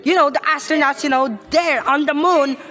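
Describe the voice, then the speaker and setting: A woman giving casual reaction commentary, speaking haltingly and often repeating and stumbling over her phrases. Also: sits high, steady tone, pushed and bright, faint music behind. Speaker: reaction video host.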